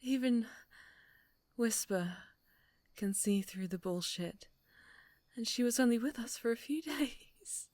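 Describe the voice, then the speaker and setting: Slow, menacing obsessive superhero woman, acted by a woman. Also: a little high, dry recording. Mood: bitter pleading. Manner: halting, breathy whispers, menacing sweetness. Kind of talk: dramatic ASMR roleplay.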